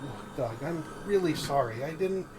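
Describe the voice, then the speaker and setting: A man's voice: on the high side, lively intonation, normal speed, lightly acted. An actor playing a nervous, placating young man in a horror audio drama, hesitant and stammering. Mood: frightened, placating. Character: nervous, placating young male podcaster, the threatened roommate. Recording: clearly noisy track with ambience.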